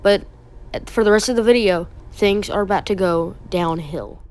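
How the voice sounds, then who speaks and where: A woman's voice: medium pitch, moderate pace, noisy background. A woman hosting a video essay, giving explanatory commentary on a horror video.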